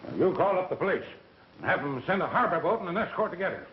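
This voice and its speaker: An actor playing a bullying, ill-tempered sponsor in a 1930s melodrama, imperious and full of complaints. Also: male voice, sits high, sing-song, fast. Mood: imperious, angry. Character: bullying, ill-tempered male radio sponsor, demanding boss. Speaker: actor in a film. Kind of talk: 1930s film melodrama acting. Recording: muffled, hissy, echoey 1930s film soundtrack.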